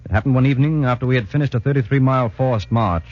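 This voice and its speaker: A man's voice: medium pitch, rapid-fire, darkish tone, close to an everyday voice. An actor playing a commando soldier who narrates his training days in a 1940s radio drama. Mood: measured, reminiscing. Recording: muffled 1940s radio broadcast, music behind.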